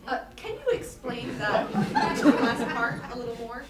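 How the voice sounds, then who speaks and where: A woman acting a polite, puzzled interviewer, halting and apologetic in a staged interview. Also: on the high side, very animated, over a noisy crowd. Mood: puzzled, hesitant. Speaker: actor in a staged reading. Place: staged reading in a reverberant room. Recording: echoey room, distant microphone, noisy.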